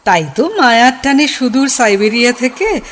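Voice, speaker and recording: A woman playing a soft, shy childhood sweetheart. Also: on the high side, expressive, normal speed, slight background noise, lightly acted. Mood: affectionate. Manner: soft, affectionate, teasing. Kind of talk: Bengali radio drama acting.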